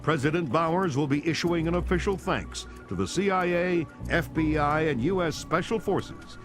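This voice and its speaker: A man's voice: on the high side, moderate pace, lightly acted. A man playing a television news anchor in a video game, reading crisis reports formally and with emphasis. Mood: grave, formal. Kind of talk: scripted news broadcast. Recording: music behind.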